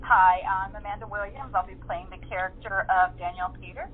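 A woman guest in a casual interview, talking informally and pausing to find her words. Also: medium pitch, steady tone, slight background noise. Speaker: amateur voice actor, interview guest. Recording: muffled, tinny phone line.

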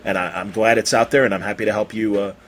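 A man hosting a casual podcast interview, talking in an effusive, rambling, halting way with some mild swearing. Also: fairly low pitch, steady tone, a bit bright. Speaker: podcast host interviewing a guest.

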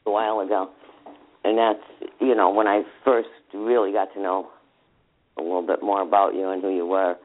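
A man's voice: sits low, flat delivery, moderate pace. A man co-hosting an interview, easygoing and chatting casually. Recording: tinny phone-like line.

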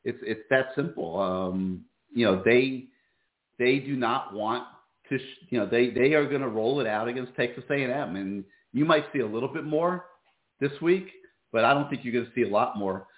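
A man hosting a sports call-in show, talking casually with many fillers, his voice going hoarse. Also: mid-range voice, normal speed, roomy sound, narrow, tinny audio. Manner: relaxed, many fillers and restarts. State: losing his voice. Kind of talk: casual call-in radio talk.

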